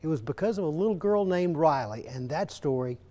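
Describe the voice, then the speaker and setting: An older man narrating a local TV feature story in a measured, folksy storytelling way. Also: a little high, lively intonation, warm tone. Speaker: TV feature host and reporter. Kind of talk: scripted news narration. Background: music.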